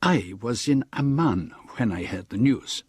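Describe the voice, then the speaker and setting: An actor playing a courteous, inquisitive Belgian detective with a French-speaking Belgian accent in a radio drama, speaking in a measured, deliberate way. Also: male voice, mid-range voice, lively intonation, a little mellow, breathy edge, dry recording, clean studio sound. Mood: measured, reflective. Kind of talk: radio drama acting and narration.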